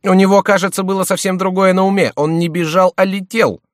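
A male narrator plays a battered young courtier in a storybook tale. The voice is high and swooping, full of indignant exclamations and loud complaint, as if every wrong were a scandal. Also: animated, a little fast, no echo, studio quality, lightly acted. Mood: exasperated. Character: outraged, battered young nobleman, a king's favourite, loudly complaining. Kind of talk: audiobook character voice.

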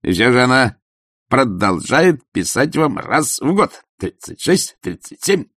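A man's voice: medium pitch, measured pace, breathy edge, no echo, clean studio sound, pitched above the performer's own voice, heavily acted. A man doing a cool, arrogant young nobleman. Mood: self-assured. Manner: cool, self-assured, calm. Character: arrogant young nobleman, werewolf son, fitness-obsessed. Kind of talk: audiobook character voice.

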